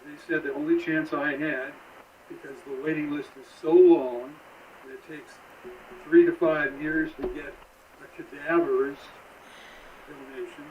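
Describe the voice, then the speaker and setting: A man in his seventies telling his own story as an interview guest, unscripted, halting and explanatory, over a distant radio link. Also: on the high side, steady tone, narrow, tinny audio. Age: 70s. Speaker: interview guest, transplant recipient. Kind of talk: unscripted personal account.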